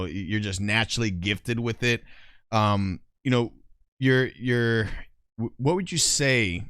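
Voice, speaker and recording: A man's voice: fairly low pitch, fairly bright tone, clean tone, no echo, clean studio sound. An American man hosting a podcast interview in casual, slangy, effusive talk, often addressing his guest as "man". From Miami, United States.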